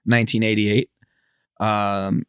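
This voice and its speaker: A man's voice: lowish voice, little pitch movement, slow pace, clear, resonant tone, no echo, studio quality. A man, chatting casually as a podcast co-host in laid-back, slangy talk. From grew up in Los Angeles area, California, born in Israel.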